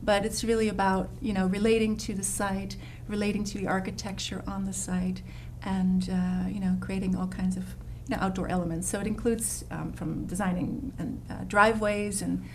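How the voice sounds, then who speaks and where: A woman from the Netherlands speaks as a guest in a relaxed interview. She talks with eagerness and many small fillers, at a middle pitch. Faint background noise sits under her voice. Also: moderate pace, few pauses. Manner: warm, enthusiastic, many fillers and restarts. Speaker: landscape designer guest. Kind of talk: casual interview chat.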